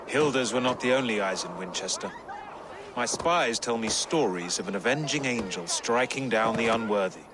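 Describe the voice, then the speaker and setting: An actor as an eloquent medieval lawman over loud noise. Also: fairly low pitch, lively intonation, normal speed, close to an everyday voice. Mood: jovial, theatrical. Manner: eloquent, playful, formal phrasing. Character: genial, wry Anglo-Saxon lawman, learned and courteous. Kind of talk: video game dramatic dialogue.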